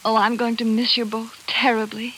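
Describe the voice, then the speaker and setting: A troubled, tender young woman played by an actress in a 1940s radio play. Her voice is fairly high, and the old broadcast has a faint hiss. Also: little pitch movement, normal speed, a bit bright, lightly acted, poor audio. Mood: troubled, fond. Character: troubled, affectionate young woman, neighbour of blind man. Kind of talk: 1940s radio drama acting.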